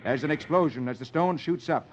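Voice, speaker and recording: A man's voice: on the high side, brisk, noisy background. A man hosting a 1950s children's radio show, reading the comics aloud in a theatrical storyteller manner. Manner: theatrical, sing-song storyteller delivery. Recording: muffled old radio recording with music.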